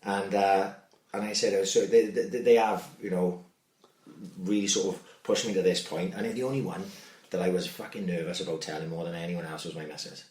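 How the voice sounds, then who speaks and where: Middle-aged podcast host, brisk sweary storyteller, deep voice, roomy distant sound. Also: male voice, steady tone, darkish tone. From Wales. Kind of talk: casual chat. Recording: echoey room, distant mic.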